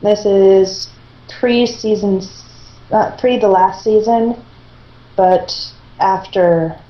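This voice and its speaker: A woman's voice: medium pitch, fairly even intonation, takes it slowly. A woman chatting casually and reading a story aloud as an amateur, over an internet voice call. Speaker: participant in a group fan fiction reading. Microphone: internet group voice call. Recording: dull, echoey internet voice call.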